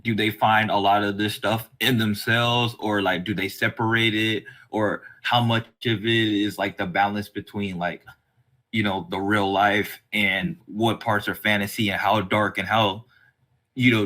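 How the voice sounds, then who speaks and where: A man's voice: lowish voice, bright, forceful tone. A man hosting a casual podcast interview, speaking in a rambling, hesitant way with lots of filler phrases as he frames his questions. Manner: hesitant, rambling phrasing with many "like" and "you know". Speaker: podcast host.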